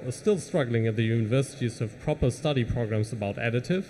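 A man's voice: mid-range voice, expressive, quick pace, darkish tone, a little hiss or hum. German-accented man on a panel. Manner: hesitant, many fillers. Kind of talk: panel discussion.

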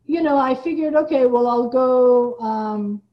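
A woman's voice: high voice, a little slow, muffled recording. A middle-aged woman with an Iranian accent, answering conversationally as an interview guest. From Iran. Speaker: guest, playwright and theater director.

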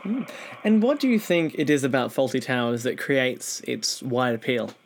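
A man's voice: mid-range voice, expressive, moderate pace. Guy hosting an interview, voice goes up and down a lot, bit of noise behind him. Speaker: interview host. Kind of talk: conversational interview questions.

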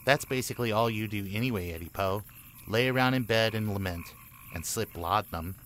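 A man acts out a nervous, aggrieved young character in a comic radio play. The voice is on the low side, indignant and exasperated, with light noise in the background. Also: a little fast, fairly bright tone, lightly acted.

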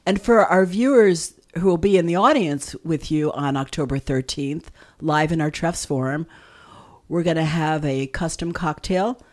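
A woman's voice: sits low, normal speed, darkish tone. Woman, radio co-host, casual interview chat, conversational delivery.

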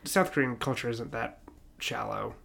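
A man's voice: medium pitch, moderate pace, gravelly, pushed and bright. A young man co-hosting a podcast, riffing comically in an irreverent, sweary, casual way. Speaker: podcast co-host.

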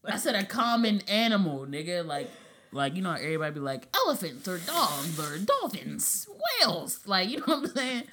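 Young woman, podcast host, blunt and profane, swooping lively pitch. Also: normal speed, a little hiss or hum. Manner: blunt, profane, laughing banter. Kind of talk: casual chat.

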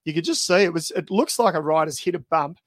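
A man with a slightly high voice, co-hosting a podcast in easygoing conversation. He restarts sentences often and laughs lightly. The recording is dry and close. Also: quick pace, no echo. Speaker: podcast co-host, former pro cyclist. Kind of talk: casual podcast chat.

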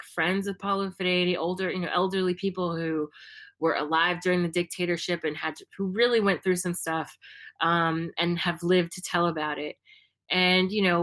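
A woman's voice: fairly low pitch, moderate pace, fairly bright tone. A young woman from the United States talking casually and reflectively, with many fillers and restarts. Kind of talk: casual conversation.

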